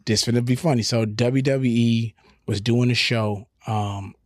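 A man's voice: mid-range voice, flat delivery, unhurried, no room sound, studio quality. A middle-aged man telling stories casually as a podcast guest, candid and profane with slangy asides. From Houston, Texas. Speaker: podcast guest, comedian and radio personality.

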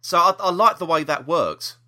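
A man's voice: on the high side, animated, moderate pace, pushed and bright. A man chatting casually as a podcast co-host, enthusiastic and repeating himself for emphasis. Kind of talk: casual review chat about audio dramas.

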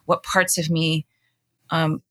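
A woman's voice: low-pitched, flat delivery, takes it slowly, fairly bright tone, no echo. A woman talking casually on a podcast, with many fillers like "like". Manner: casual, heavy use of like.

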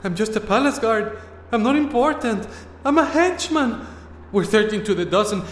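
An actor playing a frightened, lowly male palace guard who pleads in a whiny voice. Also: animated, moderate pace, echoey room, in a large hall, slight background noise. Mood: pleading.

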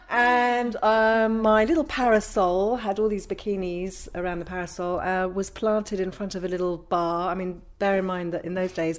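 A woman in her fifties with a London accent, a podcast guest telling anecdotes in a casual, conversational way. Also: medium pitch. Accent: London English. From London, England. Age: 50s. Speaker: interview guest, craniosacral therapist.

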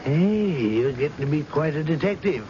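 An actor playing a patient, methodical senior police officer with measured authority in a 1970s radio drama. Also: male voice, on the high side, animated, close to an everyday voice. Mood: indulgent. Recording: muffled, noisy old radio recording.

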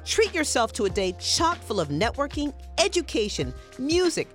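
A woman's voice: high voice, very animated, normal speed, faint music behind. A mature woman reading a scripted radio advertisement for her own business. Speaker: inventor, business owner.

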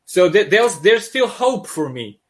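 A man with a thick Serbian accent speaks in a high-pitched voice over a video call. He is a comic artist guest telling stories in an animated, joking way, often restarting his sentences. The talk is loose and friendly. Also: unhurried.

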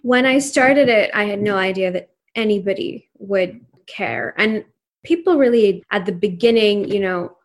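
A woman's voice: mid-range voice, normal speed. Woman in her 30s, native Urdu speaker, entrepreneur guest; reflective, earnest, lots of "you know". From Pakistan. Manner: earnest, reflective, many fillers and restarts. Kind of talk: casual interview conversation.

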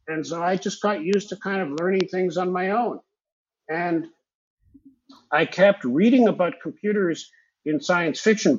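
Older guest, Hebrew native speaker, husky voice, muffled lo-fi remote audio. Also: male voice, a little high. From Israel. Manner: rambling, reflective, with fillers. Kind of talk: conversational storytelling.

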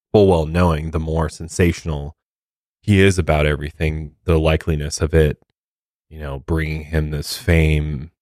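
Very deep male voice, dry recording. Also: no echo. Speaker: podcast co-host. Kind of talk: casual opinionated commentary.

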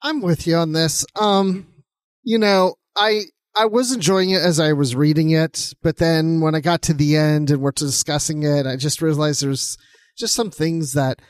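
A man with a fairly high voice talks casually as a podcast co-host. He thinks aloud in a conversational way as he gives his views on a book. The sound is dry, with no room echo. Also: steady tone, normal speed.

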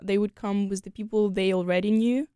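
A woman's voice: mid-range voice, steady tone, fairly quick, dark tone, smooth, no room sound, clean studio sound. A young woman from Ukraine with a Ukrainian accent, a speaker of Ukrainian and Russian, chatting casually as an interview guest and filling her talk with "like" and "you know". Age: young adult.

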